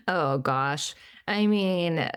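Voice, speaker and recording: A woman's voice: on the low side, smooth, no room sound, studio quality. A woman psychologist talking casually on a podcast, full of fillers like "like" and "you know". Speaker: psychologist guest.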